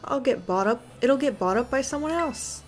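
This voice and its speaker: A woman voicing an excitable, food-obsessed old man gym leader with folksy exclamations. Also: moderate pace, faint music behind. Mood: frantic. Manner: exclaiming, folksy, booming catchphrase.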